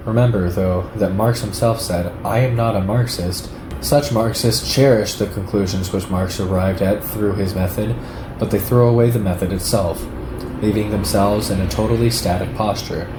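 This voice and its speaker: A man with a fairly low voice reads a political essay aloud. His delivery is measured and lecturing. The recording is echoey, with clear background noise. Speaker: narrator reading a political text aloud.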